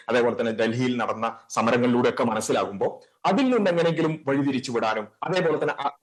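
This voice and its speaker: A man speaking as a panelist in a TV news debate, explaining his argument step by step. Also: medium pitch.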